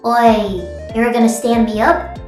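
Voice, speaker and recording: A woman's voice: fairly high pitch, fairly even intonation, slow pace, a little mellow. A woman playing a playful, blunt young streamer friend in an audio drama, casual, teasing and offhand. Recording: roomy echo under music.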